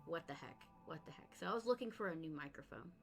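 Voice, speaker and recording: A woman hosting a podcast, chatting casually in an energetic, booming way and laughing easily. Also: fast talker, gravelly. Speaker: podcast host. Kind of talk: casual interview chat.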